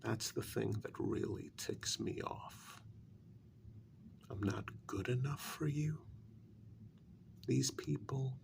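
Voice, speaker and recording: A man playing a bitter, sarcastic kidnapper in a soft-spoken ASMR role-play, halting and half-whispered, with a gravelly voice. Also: fairly low pitch, noisy background, exaggerated voice. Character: bitter, sarcastic male kidnapper confronting a demon.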